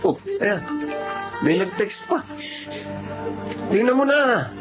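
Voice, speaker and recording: A man's voice: sits high, very animated, slow pace, dull audio, over music, full character voice. A man acting a young, exasperated but caring ex-boyfriend in a Filipino radio drama, colloquial and nagging, with a Filipino accent. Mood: coaxing, concerned.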